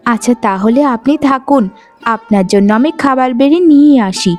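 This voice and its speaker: A woman voicing a gentle, obedient young twin bride in a cartoon, meek and deferential. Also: high-pitched, moderate pace, faint music behind, lightly acted. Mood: shy, pleased. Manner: meek, soft-hearted, deferential. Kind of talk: animated moral-tale voice acting.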